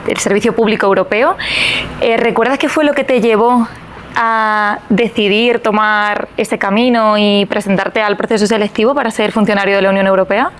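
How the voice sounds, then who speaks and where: A woman hosting a podcast interview, asking questions in a measured way with soft acknowledgements. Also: medium pitch, a little hiss or hum.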